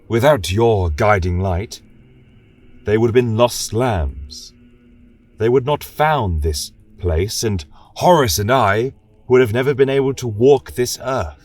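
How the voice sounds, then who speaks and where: Noble male angel warrior for fantasy tale played by a man: gentle, formal, unhurried, breathy-edged, music beneath. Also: lowish voice. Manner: soft, solemn, formal. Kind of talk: fantasy audio tale acting.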